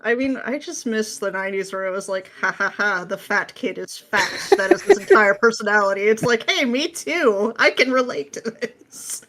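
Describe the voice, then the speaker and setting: A woman with a medium-pitched voice chats casually as a podcast guest. She is playful and sarcastic, acting out lines in mock quotation as she makes her points. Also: normal speed. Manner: animated, sarcastic, playful mimicry. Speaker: podcast guest, script writer. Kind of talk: casual pop culture chat.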